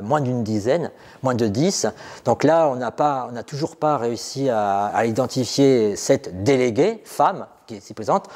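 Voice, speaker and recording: A man's voice: medium pitch, darkish tone. A middle-aged man guiding visitors through an exhibition, explaining in unscripted talk full of fillers and restarts. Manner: hesitant, many fillers and restarts. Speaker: exhibition guide. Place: exhibition room indoors. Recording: reverberant room.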